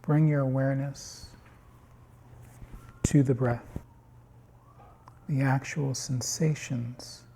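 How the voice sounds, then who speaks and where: A man guiding a meditation in calm, unhurried short phrases with long pauses, his voice slightly husky. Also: medium pitch, a little hiss or hum. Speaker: meditation guide. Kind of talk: guided body scan meditation.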